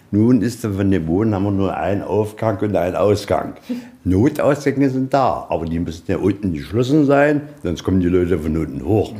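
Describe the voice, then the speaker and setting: A man's voice: deep voice, dark tone. A man working as a museum guide, explaining in a plain-spoken, informal way and answering his own questions. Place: indoors, museum anteroom.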